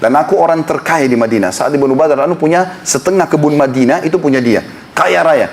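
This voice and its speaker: A man's voice: a little high, fast, darkish tone. A man preaching an impassioned sermon to a congregation in a prayer hall, building to emphatic appeals. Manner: impassioned, rising to emphatic exhortation. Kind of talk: Islamic sermon lecture.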